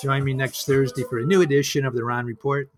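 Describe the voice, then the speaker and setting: A man's voice: mid-range voice, steady tone, fast talker, over music. Reflective man telling stories as a host. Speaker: host of a solo storytelling show. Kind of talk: personal storytelling monologue.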